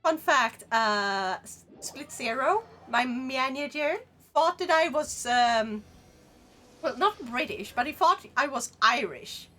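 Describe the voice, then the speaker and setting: Lively high-voiced Swedish woman streamer. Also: slow pace, soft background music. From Sweden. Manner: animated, rambling, laughs often. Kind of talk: casual chat with live chat.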